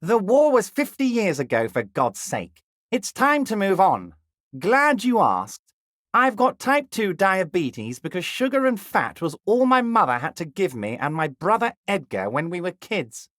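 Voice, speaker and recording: A smug scheming union boss. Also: male voice, huge pitch swings, a little slow, dry recording, clean studio sound, heavily acted. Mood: smug, ingratiating. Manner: smooth and ingratiating, drops low and soft on pet names; blunt and crude at times. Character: scheming jovial male union boss, middle-aged. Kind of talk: video game character dialogue.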